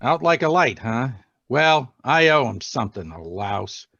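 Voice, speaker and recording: A man's voice: mid-range voice, big pitch swings, a little slow, vocal fry, bright, forceful tone, dry recording, exaggerated voice. A man playing a curt, slangy young gunman henchman, sneering and tough, in a 1940s-style radio drama over a video call. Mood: gloating, vicious. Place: remote read-through over a video call. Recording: muffled, dry video-call audio.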